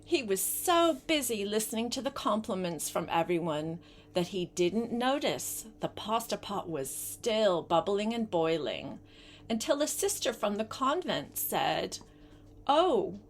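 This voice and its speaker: Older woman with very lively storybook delivery. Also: medium pitch, unhurried. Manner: animated, addresses the young listener with questions. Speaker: grandmother reading a storybook aloud. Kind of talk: expressive storybook read-aloud with chatty asides to a child.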